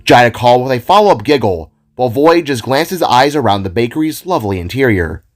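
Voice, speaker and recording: A man's voice: mid-range voice, lively intonation, fast. A man giving a scripted narration of an audio drama, as its storyteller. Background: music.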